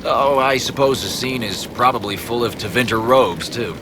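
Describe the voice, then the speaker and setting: An actor playing a gruff, plainspoken dwarven craftsman in a video game, speaking in clipped phrases. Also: mid-range voice, normal speed, noisy background, close to an everyday voice. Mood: matter-of-fact. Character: gruff, practical dwarven craftsman, proud of his trade. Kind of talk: video game dialogue acting.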